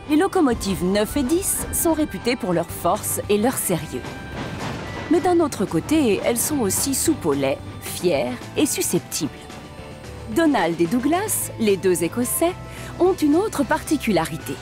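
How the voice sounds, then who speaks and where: A woman narrating a cartoon story in an expressive, scripted way. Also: fairly high pitch. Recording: cartoon narration with music behind.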